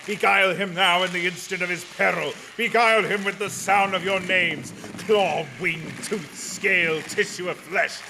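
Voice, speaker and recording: Undead vampire lord for radio horror: gravelly, highish voice, imperious, seductive, chanting commands. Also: male voice, steady tone, background noise. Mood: urgent summoning. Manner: slow, incantatory, commanding. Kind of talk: radio horror drama acting.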